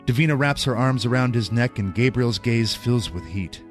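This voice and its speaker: Male audio drama narrator, medium pitch. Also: lively intonation, moderate pace, soft background music.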